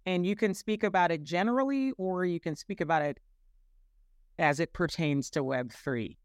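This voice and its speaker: A man's voice: sits high, normal speed, clean tone, dry recording, clean studio sound. A man hosting a casual interview, upbeat and encouraging, asking questions and offering short affirmations. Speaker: interview host.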